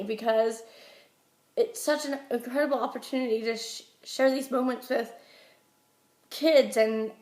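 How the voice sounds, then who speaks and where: Young American woman in an echoey room. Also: a little high. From Utah, United States. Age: young adult. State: getting over a head cold. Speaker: job applicant answering interview questions. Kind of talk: informal phone interview answers. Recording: roomy echo.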